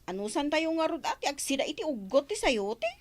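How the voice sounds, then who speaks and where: A woman's voice: high voice, sing-song, a little fast, dry recording. A woman with an Ilocano accent playing a nagging, worried keeper of a poor household in a radio drama. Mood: nagging, reproachful.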